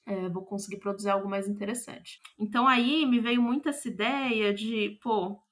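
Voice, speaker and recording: A woman with a Brazilian accent gives a reflective interview as a playwright. Her voice sits at a middle pitch. She is thoughtful, feels for the right word and often lets phrases trail away. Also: moderate pace.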